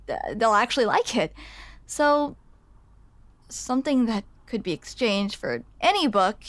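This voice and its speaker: A woman's voice: on the high side, dry recording. A woman playing a grumpy but smitten barista in an intimate ASMR roleplay, hesitant, teasing and self-deprecating, close to the microphone. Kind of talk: ASMR roleplay, intimate one-sided conversation. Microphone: close microphone, dry.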